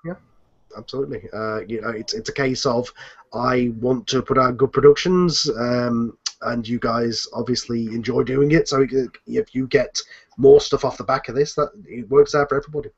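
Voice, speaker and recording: A man hosting an online group chat with a hesitant, halting delivery. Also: medium pitch, steady tone, slightly rough. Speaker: host and series producer. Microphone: computer, later phone, on online call. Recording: dull lo-fi internet call.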